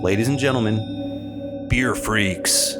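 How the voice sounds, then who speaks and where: A man's voice: lowish voice, slow pace, over loud music. A young man hosting a podcast in casual chat, joking and laughing with his guest. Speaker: podcast host.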